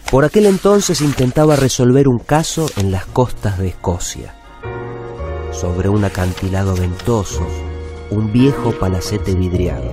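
A man's voice: lowish voice, animated, measured pace. An actor playing a wistful forty-year-old bookshop owner with a Rioplatense Argentine accent, narrating his routine life in a reflective radio drama. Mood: pondering, absorbed. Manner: reflective, storytelling, self-correcting. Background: music bed.

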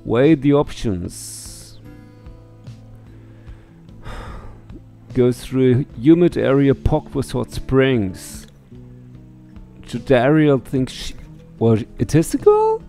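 Casting: male let's play host, middle-aged, relaxed dark tone, lively intonation, headset commentary over game music. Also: medium pitch, extremely slow, creaky, pauses often. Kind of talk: game commentary and reading game text aloud.

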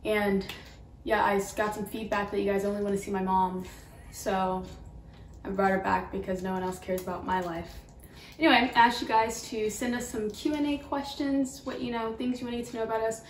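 A woman of 22 hosting a casual Q&A on her vlog, sitting on a living room couch and teasing her mother with quick asides. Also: medium pitch, fairly even intonation, slight background noise. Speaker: YouTube vlogger, host. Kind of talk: casual Q&A chat. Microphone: camera mic, from a distance. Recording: roomy, a bit distant.

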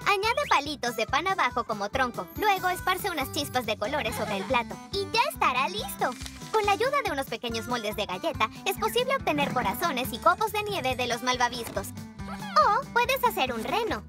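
Woman narrator for a kids' cartoon, very high pitch, clear and instructive. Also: sing-song, moderate pace. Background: music.

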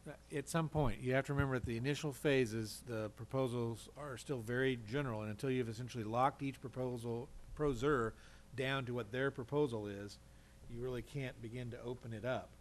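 A man's voice: medium pitch, fairly even intonation, brisk, slight background noise. A man advising a council in a public meeting, explaining things carefully, step by step.

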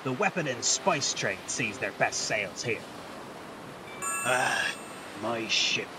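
An actor playing a genial, folksy ship captain in a fantasy game dub. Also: male voice, medium pitch, animated, slow, recorded from a distance, music in the background, poor audio. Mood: amiable, boastful. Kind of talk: video game character dub.

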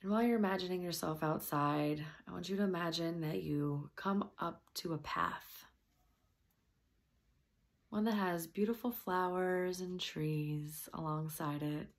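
A woman softly and gently leading a guided meditation, at times slipping into a breathy near-whisper. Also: fairly low pitch, unhurried.

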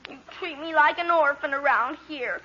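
Pouty whiny little girl, 1940s radio comedy, super high, muffled old recording. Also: moderate pace, sharp tone. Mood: pouting, pleading. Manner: melodramatic, pouting, insistent. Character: whiny, sassy little girl, youngest daughter. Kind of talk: 1940s radio sitcom acting. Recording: dull, noisy vintage radio recording.

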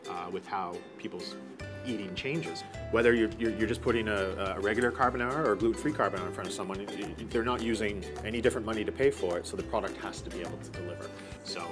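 Middle-aged chef explaining in a kitchen. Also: male voice, fairly low pitch. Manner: relaxed, explanatory, with frequent fillers and restarts. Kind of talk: cooking demonstration. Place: restaurant kitchen.

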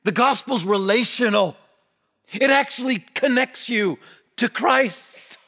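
A man in his forties preaches from behind a church pulpit, his voice sitting high for a man. He gestures broadly and swings from energetic emphasis down to hushed, almost whispered words. The mic is close and picks up every shift in level. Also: takes it slowly. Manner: animated preaching with wide gestures, dropping to near-whispers on key words. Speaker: pastor. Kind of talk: sermon.

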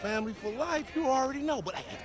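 An actor playing a brash, boastful young American street hustler in a game cutscene, loud, swaggering and slangy. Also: male voice, very high voice, lively intonation, quick pace. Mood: gleeful, boastful. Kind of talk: video game cutscene acting.

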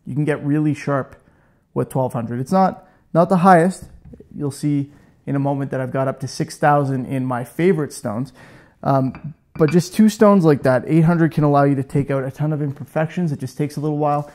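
A man's voice: fairly high pitch, normal speed. Man, 30s, chatty, self-deprecating, how-to host, garage workshop, clip-on mic. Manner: chatty, self-joking, animated with hands. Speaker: woodworking channel host. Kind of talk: casual how-to demo. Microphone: lapel mic.

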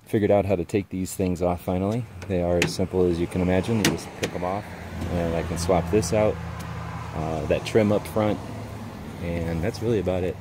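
A relaxed man with a very deep, low voice ambles around his van, pointing things out with plenty of uh fillers. The handheld camera picks him up outdoors, and clear background noise sits under his speech. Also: a little fast. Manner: relaxed, rambling, frequent uh fillers. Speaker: vlogger. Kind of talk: casual walkaround van tour. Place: outdoors in a mountaintop parking lot beside a van. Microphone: handheld action camera.

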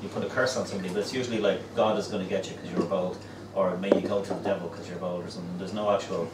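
A man's voice: low voice, steady tone, very fast. A middle-aged man with an Irish accent, teasing and chiming in with wry asides during pub banter. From Ireland. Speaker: musician. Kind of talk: casual banter. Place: busy pub, indoors. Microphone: far camera. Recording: echoey noisy pub from far off.

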